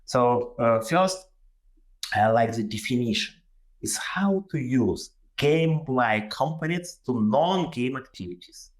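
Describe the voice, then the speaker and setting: Ukrainian-accented man presenting haltingly. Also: mid-range voice, animated. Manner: halting, many fillers and restarts. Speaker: guest presenter. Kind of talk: webinar presentation.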